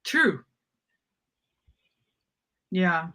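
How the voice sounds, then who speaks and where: A woman's voice: lowish voice, sing-song, drawn-out words, pushed and bright. Informal woman chatting, thinking aloud. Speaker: co-host of a book discussion. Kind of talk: casual fan chat about fantasy novels.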